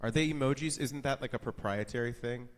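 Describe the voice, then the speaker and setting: A man's voice: medium pitch, brisk. A man bantering casually on a webcast, teasing and wisecracking, in a reverberant space. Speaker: webcast participant. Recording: long reverberant room tail.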